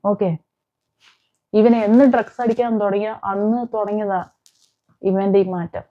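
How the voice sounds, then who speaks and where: Female crime vlogger, soft dark timbre, insistent rhetorical delivery, slightly muffled lo-fi audio. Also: mid-range voice, steady tone, moderate pace. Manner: emphatic, repeats phrases and asks rhetorical questions. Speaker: vlogger, host. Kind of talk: opinionated crime commentary to viewers.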